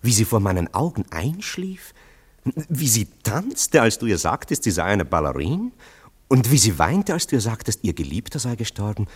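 Husky, low male confidant for a radio drama: sceptical, teasing, wry, hugely animated intonation. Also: moderate pace. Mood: wondering. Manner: short, wry, exclamatory interjections. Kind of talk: German literary radio play acting.

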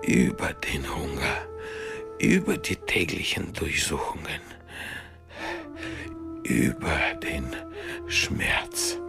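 Ein Schauspieler spielt im Hörspiel einen gezeichneten Lagerüberlebenden, der stockend in Bruchstücken erzählt. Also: male voice, extremely low pitch, huge pitch swings, vocal fry, full character voice. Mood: haunted, remembering. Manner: halting, fragmented phrases. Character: haunted camp survivor recalling hunger, cold and pain. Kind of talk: radio drama monologue. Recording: loud music under the voice.

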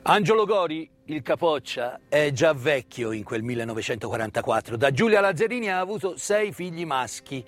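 A male stage storyteller with a fairly high voice performs a scripted narrative, with faint music behind him. He speaks in a measured theatrical manner, setting phrases apart with deliberate pauses. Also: sing-song, fairly bright tone. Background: live instrumental music.

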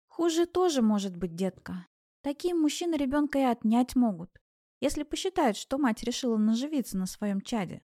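An elderly village grandmother played by a woman narrator for an audiobook. She speaks in a raised pitch with folksy tenderness, chiding gently and reassuring knowingly. The sound is close and dry. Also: lively intonation, normal speed, dry recording. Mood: cautioning. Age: older. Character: kindly old village granny, gently chiding and soothing. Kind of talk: audiobook character voice.

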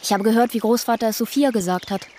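A woman's voice: fairly high pitch, fast, warm tone, slight background noise, close to an everyday voice. A female performer playing a precocious, unsentimental little girl sleuth in a radio play, matter-of-fact and know-it-all. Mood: smug.

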